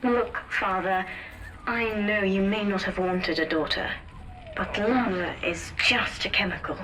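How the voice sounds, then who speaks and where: A young woman playing a ruthless but devoted teenage daughter in a dramatic video game, with deliberate, earnest, commanding delivery. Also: mid-range voice, pushed and bright, slight background noise, rough recording. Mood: determined, intimate. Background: music.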